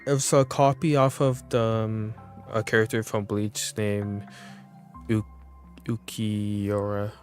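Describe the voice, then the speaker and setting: A woman streamer giving casual, halting commentary while playing a game. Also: extremely low pitch, flat delivery, a little mellow, faint music behind.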